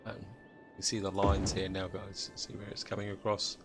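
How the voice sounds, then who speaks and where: A British man with a croaky voice from a chest cold, chatting casually as he thinks aloud through a city-building game. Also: low voice, monotone, moderate pace, over loud music. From Britain. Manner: thinks aloud, chatty, addresses viewers as guys. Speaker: gameplay commentator.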